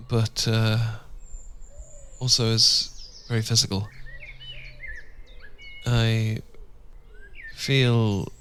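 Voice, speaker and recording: A British man playing a tender, devoted Regency gentleman husband in an intimate ASMR roleplay, speaking gently and a little hesitantly in formal period phrasing. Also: fairly low pitch, level tone, very slow, pauses often, a little hiss or hum. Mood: gentle, chatty. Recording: outdoor birdsong ambience behind voice. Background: birdsong and outdoor ambience.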